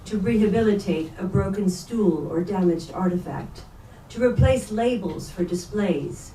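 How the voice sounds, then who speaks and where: A woman's voice: medium pitch, unhurried, mellow. A woman reading literary prose aloud as a reader at a staged book reading. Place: bookstore event space. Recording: echoey room, distant mic, faint noise.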